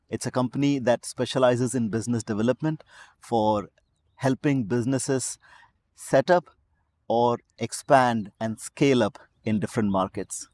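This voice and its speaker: A man's voice: mid-range voice, a little mellow, no room sound. A man hosting a podcast, opening with a scripted welcome in an announcer's voice and then asking chatty questions. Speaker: podcast host. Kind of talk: scripted intro, then casual interviewing.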